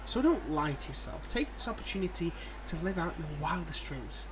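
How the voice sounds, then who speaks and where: Higher-voiced cocky, flirty villain acted by a man. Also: big pitch swings, normal speed, noisy background. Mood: teasing, insistent. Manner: smug, teasing, coaxing and mock-defensive. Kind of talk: casual audio roleplay addressed to the listener.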